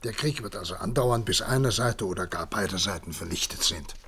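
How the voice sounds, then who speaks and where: An actor playing an authoritative president of a future world at war, speaking formally in a 1960s German radio drama. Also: male voice, lowish voice, normal speed, sharp tone, slightly rough, exaggerated voice. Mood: grave, resolute. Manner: formal, commanding.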